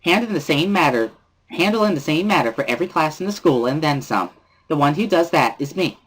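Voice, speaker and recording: A man voicing a cheerful, loud teenage schoolgirl who scolds emphatically as she relays a bossy class president's words. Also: a little high, animated, a little fast, slightly rough, rough recording. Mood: cheerfully scolding.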